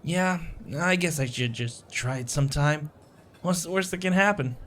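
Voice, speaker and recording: A man's voice: on the high side, moderate pace, a bit bright, slight background noise, close to an everyday voice. A man acting a shy, anxious, sweet young stranger in an audio roleplay, rambling with hesitant stammers and self-deprecating apologies. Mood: shy, earnest, then nervous. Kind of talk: audio roleplay, intimate one-sided conversation. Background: coffee shop ambience.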